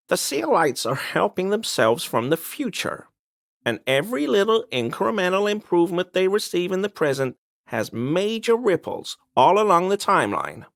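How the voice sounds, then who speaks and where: A man's voice: high voice, creaky, fairly bright tone, no echo, studio quality, heavily acted. An actor playing a smug, conspiracy-minded man in a video game, speaking in an insistent, knowing, insinuating way. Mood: conspiratorial, earnest. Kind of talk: video game dialogue voice lines.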